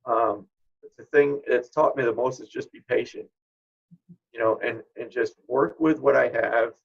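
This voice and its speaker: Interview guest, male, middle-aged. Husky and creaky, reflective, conversational delivery. Slightly muffled, low-fidelity recording. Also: a little slow. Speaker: guest, spirit medium. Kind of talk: informal interview talk.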